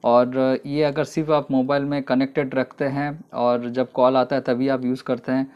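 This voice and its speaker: Man, product review vlog, monotone delivery, unhurried with fillers, dull lo-fi recording. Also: mid-range voice, clean tone. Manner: explanatory, unhurried, many fillers.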